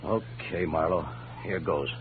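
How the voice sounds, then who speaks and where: An actor playing an oily, cowardly blackmailer in a 1940s radio drama, with a theatrical way of talking. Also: male voice, deep voice, unhurried, phone-quality sound. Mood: pleading, cornered. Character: smug, oily blackmailer, cowardly when threatened. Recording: thin, noisy old radio recording with hum.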